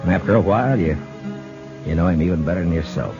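Male, American, deep voice, for a terse, reserved Old West marshal in a vintage radio drama; dulled old recording. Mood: reflective. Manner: terse, halting, low-key. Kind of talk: 1950s radio Western acting. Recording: muffled 1950s radio recording with music.

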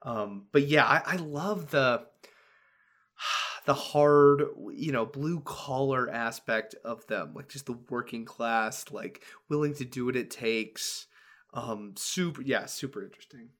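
A man's voice: medium pitch, slow pace, a bit bright. A man co-hosting a casual tabletop-gaming podcast, talking eagerly with frequent fillers and restarts. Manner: enthusiastic, many fillers and restarts. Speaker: podcast co-host.

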